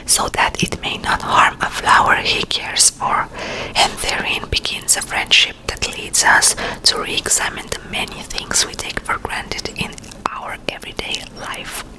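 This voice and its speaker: A woman whispering close to the mic in an ASMR video, chatting in rambling asides and reading aloud. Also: rough recording. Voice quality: soft unvoiced whisper. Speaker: ASMR creator. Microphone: close to a sensitive mic.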